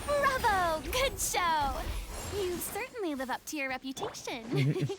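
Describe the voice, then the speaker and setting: A confident young game heroine with a high, swooping voice. She delivers short, theatrical battle calls at an unhurried pace, under loud music. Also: big pitch swings, exaggerated voice. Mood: delighted. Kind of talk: video game battle lines. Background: loud battle music and game sounds.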